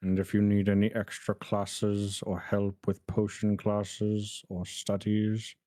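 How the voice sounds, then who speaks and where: A man voicing a stern, formal professor with careful, deliberate enunciation, for a visual novel read-along. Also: lowish voice, monotone, warm tone, dry recording, studio quality. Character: stern, formal male professor in a droll impression style.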